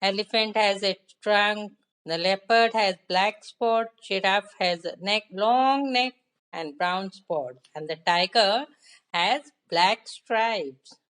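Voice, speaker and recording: A woman with an Indian accent telling a story to young children, with deliberate phrasing and questions to the listeners. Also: medium pitch, a bit bright, clear, resonant tone.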